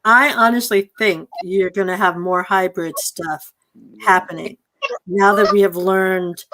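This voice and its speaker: A woman's voice: medium pitch, steady tone, unhurried, noisy background. A woman moderating a live question-and-answer session over a video call, speaking as the host.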